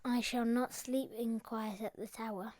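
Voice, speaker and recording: A woman's voice: on the high side, steady tone, unhurried, darkish tone, natural voice. A woman playing a forward, uneasy little boy duke in Shakespearean audio drama.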